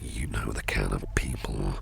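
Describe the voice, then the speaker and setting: An extremely deep, heavily gravelled voice of a sinister, flirtatious boss for an ASMR roleplay. He drawls slowly in a whispery growl, smooth and intimidating, with faint noise behind him. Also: fairly even intonation, full character voice. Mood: flirtatious, probing. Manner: slow, drawling, suave and intimidating, with lingering pauses. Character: shady, flirtatious, menacing crime boss, smooth-talking and sinister. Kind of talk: ASMR roleplay, close personal attention.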